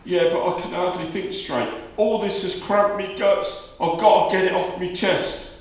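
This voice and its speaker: A man's voice: sits high, steady tone, moderate pace, natural voice. A man playing a guilt-ridden, hot-tempered working-class labourer in a radio play, talking bluntly and colloquially. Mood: shaken, confessing. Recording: very echoey room, distant mic.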